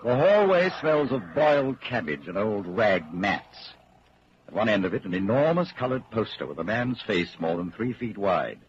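An actor playing a grave narrator of a bleak dystopian tale, with dramatic, deliberate delivery in 1940s radio style. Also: male voice, on the low side, very animated. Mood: grave, ominous. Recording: muffled, noisy 1940s radio broadcast.

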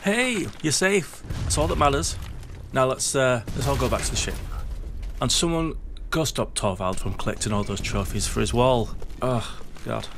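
A man's voice: mid-range voice, expressive, moderate pace, vocal fry, background noise. Man acting a brash British space captain. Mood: relieved. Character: cocky, foul-mouthed, brash male spaceship captain. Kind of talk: sci-fi audio drama acting. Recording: loud music and effects behind voice.

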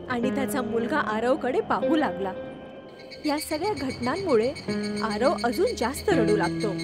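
A woman narrates a scripted horror tale. Her voice sits fairly high, and music plays clearly behind her. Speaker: story narrator.

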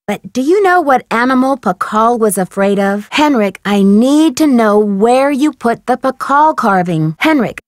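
A woman playing a friendly, earnest, inquisitive young female detective in an adventure video game, coaxing and questioning with clear diction. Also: fairly high pitch, no echo, studio quality, close to an everyday voice. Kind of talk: scripted adventure game dialogue.

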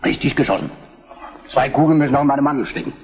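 An actor playing a calm, confident Prussian statesman in a German historical radio drama, measured and dry in manner. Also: medium pitch, long reverb tail, natural voice. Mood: dry, unruffled. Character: calm, confident male Prussian statesman, middle-aged or older.

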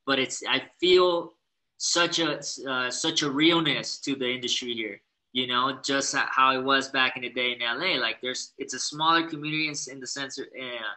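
A man's voice: mid-range voice, moderate pace, low-quality recording. A young adult man, a professional skateboarder, answering casually over a video call, full of "like" and "you know". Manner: relaxed, chatty, frequent "like" and "you know". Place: indoor living room. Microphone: laptop webcam on a video call.

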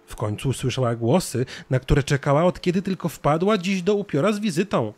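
A man's voice: fairly high pitch, a little fast, a little mellow. A man narrating a scripted children's story.

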